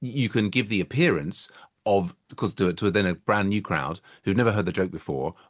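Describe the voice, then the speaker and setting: A man hosting an interview, talking casually and chiming in with quick, eager affirmations and restarts. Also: low voice. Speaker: podcast host and interviewer.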